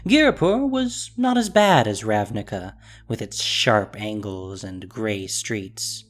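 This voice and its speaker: Lively dramatic audio drama narrator. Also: medium pitch, takes it slowly, faint music behind. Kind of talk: dramatic scripted story narration.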